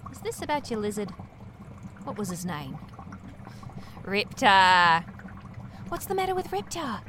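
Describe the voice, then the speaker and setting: A woman's voice: medium pitch, big pitch swings, unhurried, fairly bright tone, slight background noise. A woman playing a goofy, sarcastic co-worker with a playful, wry manner in a comedic audio drama.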